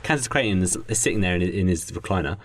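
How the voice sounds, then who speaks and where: A man's voice: deep voice, animated, fast. A man chatting casually and jokily as a comedy podcast host, rambling as he makes up a story. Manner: jokey, rambling, giggly. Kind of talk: casual improvised chat while drafting a radio play.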